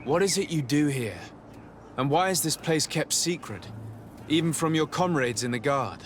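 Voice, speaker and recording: An actor playing a polite, inquisitive diplomat in a video game, with a formal and composed manner. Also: male voice, fairly high pitch, animated, moderate pace, slight background noise, close to an everyday voice. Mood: polite, curious. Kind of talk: video game dialogue acting.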